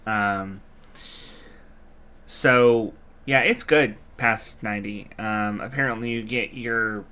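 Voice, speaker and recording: A man's voice: on the low side, slow, pushed and bright, smooth. A man giving casual live commentary as a game streamer, reacting aloud and explaining game mechanics. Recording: game music behind the voice. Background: video game music.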